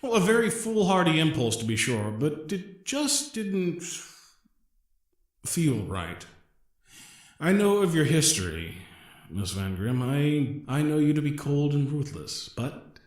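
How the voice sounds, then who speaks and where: Man playing expressive, courteous gentleman adventurer. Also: fairly high pitch, unhurried, echoey room. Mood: earnest, measured. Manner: formal, wordy, hesitant politeness. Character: polite gentleman genius adventurer, earnest and courteous. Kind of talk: scripted audio drama acting.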